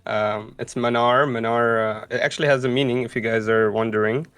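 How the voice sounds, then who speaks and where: A man's voice: mid-range voice, steady tone, a little fast, a bit bright, clear, resonant tone, no room sound. Dry-sounding man chatting as guest commentator. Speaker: guest commentator and content creator. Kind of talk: casual chat.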